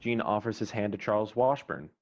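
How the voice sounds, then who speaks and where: A man's voice: fairly low pitch, lively intonation, fairly quick. A man reading scripted stage directions as narrator for an online screenplay table read. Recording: dull lo-fi online call audio.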